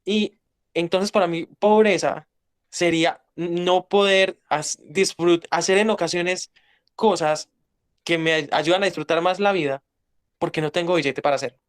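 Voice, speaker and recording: A man's voice: a little high, fairly even intonation, unhurried, smooth. A young Colombian man with a Paisa accent, speaking as an interview guest in an emphatic, blunt, lecturing way. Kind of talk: casual interview talk.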